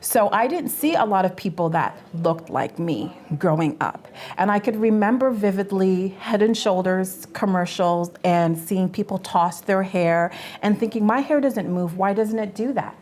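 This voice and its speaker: A woman's voice: medium pitch, moderate pace, hardly any pauses, a little mellow. A Canadian woman in her forties, co-hosting a casual panel chat, earnest and stumbling over repeated words as she makes her point. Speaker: co-host.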